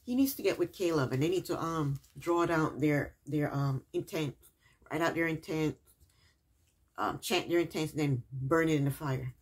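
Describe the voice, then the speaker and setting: A woman's voice: low-pitched, normal speed, breathy edge. A woman recapping a reality TV episode in a casual, chatty way, with sarcastic asides and repeated punchlines.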